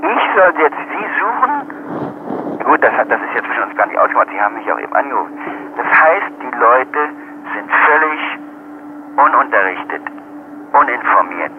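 A man's voice: mid-range voice, sing-song, normal speed. A man in a heated phone conversation, irritable and argumentative, ranting and cutting in over a muffled line. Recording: muffled noisy telephone line recording.

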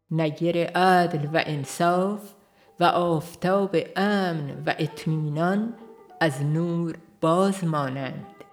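A woman with a somewhat low voice reads a devotional text slowly and solemnly, weighing each phrase. Soft violin music plays quietly behind her throughout. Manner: slow, measured, solemn delivery. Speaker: audiobook reader. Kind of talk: scripted religious reading. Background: faint violin music.

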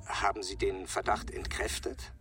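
A man's voice: low-pitched, level tone, normal speed, fairly bright tone, soft background music. A man playing an impatient, imperious husband in a radio drama, curt and haughty.

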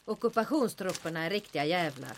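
A woman playing a tough, unflappable bar owner in an audio drama, speaking in a brisk, matter-of-fact way. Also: lowish voice, lively intonation, slight background noise. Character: tough, unflappable female bar owner hiding grim secrets.

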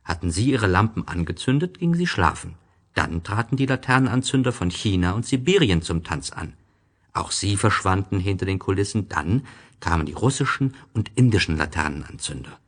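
Male storybook narrator, low register, husky, deliberate, expressive, scripted.

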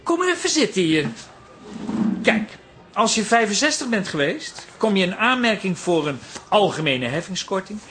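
An actor in a Dutch radio comedy playing an eager, flattering, smooth-talking man who soothes and helps. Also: high voice, moderate pace, exaggerated voice. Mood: helpful, persuasive.

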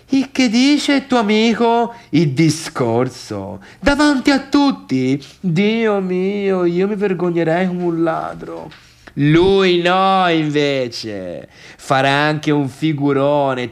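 An actor playing a gossipy, needling party guest and domineering friend in a theatre monologue, chatty and insistent, repeating himself and addressing his listeners directly. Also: mid-range voice. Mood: slow, insistent.

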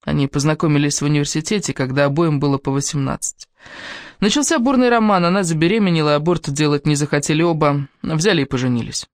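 A woman's voice: sits low, quick pace, no room sound, studio quality. A woman reading a first-person novel aloud as scripted audiobook narration.

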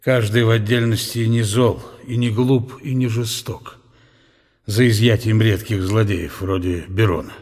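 An actor playing an ailing, aging scholar who muses bitterly and proudly on his country in a radio drama, in a husky, deliberate voice. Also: lowish voice, darkish tone. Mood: weary, resigned. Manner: deliberate, weighty, philosophical musing. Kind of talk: radio play inner monologue. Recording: music behind the voice.

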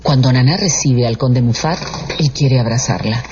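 A man narrating a literary radio drama in a measured, theatrical storytelling style. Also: medium pitch. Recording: muffled radio production with loud music.

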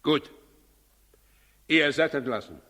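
A man's voice: a little high, expressive, slow, fairly bright tone, exaggerated voice. An actor playing an imperious, suspicious and impatient tyrant king in a 1960s radio play, commanding, sarcastic and menacing. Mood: curt. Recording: 1960s radio recording, reverberant hall.

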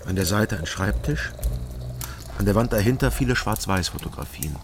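An actor playing a curious, persistent investigator in a radio crime drama, questioning and narrating in a restrained, matter-of-fact way. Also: male voice, on the low side, expressive, normal speed, noisy background.

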